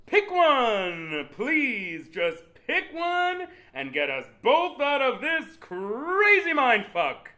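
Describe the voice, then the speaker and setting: A flamboyant TV dating show host with a very high, bright voice. He swings widely in pitch, pushing contestants along with grand, theatrical announcements in a comedy. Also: big pitch swings, measured pace, slight background noise, exaggerated voice. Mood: exasperated. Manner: exaggerated, dramatic announcer delivery.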